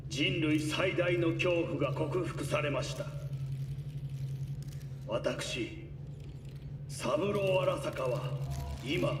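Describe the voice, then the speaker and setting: A noisy, roomy recording of an imperious corporate patriarch in a game. His voice sits a little high and he speaks formally, with measured authority, as if addressing the public. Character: imperious old tycoon reborn in his son's body. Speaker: corporate patriarch giving a press conference. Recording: roomy distant mic with loud background noise.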